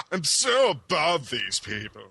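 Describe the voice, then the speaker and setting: A man's voice: a little high, vocal fry, sharp tone, husky. A man co-hosting a gaming podcast, joking and sarcastic in casual banter. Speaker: podcast co-host.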